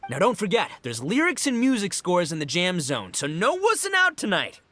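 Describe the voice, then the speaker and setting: A man's voice: sits high, wildly swooping pitch, normal speed, pushed and bright, exaggerated voice. A man playing a brash, boisterous young gang leader and rocker in a video game, with cocky, slangy, theatrical swagger. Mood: casual, frustrated. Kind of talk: animated video game acting.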